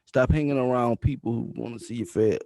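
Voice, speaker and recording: A man's voice: medium pitch, fairly quick, vocal fry, dark tone, dry recording. A man in his thirties hosting a podcast interview, talking in a laid-back, slangy way and giving advice like he's preaching. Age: 30s. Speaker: podcast host. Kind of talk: casual interview chat.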